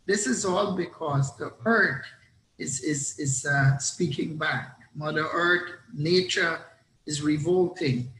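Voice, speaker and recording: A middle-aged man from Guyana gives a reflective forum speech over a video call, deliberate and pausing. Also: a little high, fairly bright tone, roomy sound, slight background noise, low-quality recording. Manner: reflective, deliberate, with fillers and pauses. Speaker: business executive speaking at a forum.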